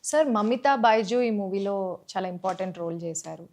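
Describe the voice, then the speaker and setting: A woman's voice: mid-range voice, moderate pace, darkish tone. A woman working as an interviewer, speaking conversationally with short questions and responses.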